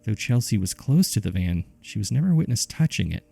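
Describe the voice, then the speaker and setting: A man with a gravelly, husky voice reading scripted horror case files in a measured, subdued way, as narrator and host. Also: lowish voice, sing-song, dark tone, dry recording, soft background music.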